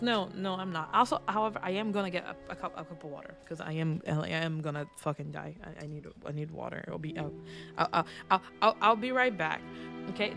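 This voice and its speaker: Woman streamer, congested throaty voice, mid-range pitch, playful self-mocking chatter over game music. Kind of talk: casual game stream commentary, reading game text aloud.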